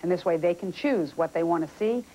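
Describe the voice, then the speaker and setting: A woman's voice: fairly low pitch, fairly quick, warm tone, slight background noise, low-quality recording. A woman explaining things as a company representative in a news interview.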